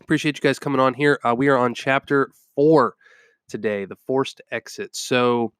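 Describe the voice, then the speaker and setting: A man's voice: mid-range voice, normal speed, a bit bright, clear, resonant tone, no echo, clean studio sound. A young adult man with a stuffed-up cold, hosting a podcast and telling a personal story in a rambling way with many ums. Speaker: podcast host. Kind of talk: casual personal storytelling monologue.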